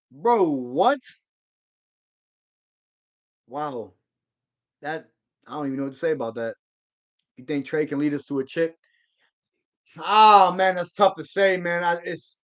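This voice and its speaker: A man hosting a live sports stream, chatting casually and answering viewer comments in a rambling, slangy way. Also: sits high. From Georgia. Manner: laid-back slang, rambling restarts.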